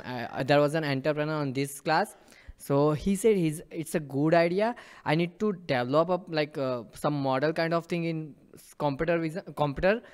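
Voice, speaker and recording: A male student sharing an idea in class, speaking haltingly with many fillers and restarts. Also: fairly high pitch, clean tone.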